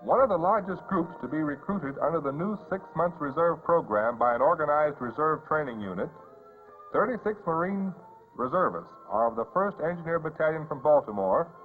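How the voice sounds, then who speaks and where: Vintage newsreel narrator, American man, higher-pitched voice, formal announcer delivery, thin band-limited soundtrack. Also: narrow, tinny audio. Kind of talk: 1950s newsreel scripted narration. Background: loud background music.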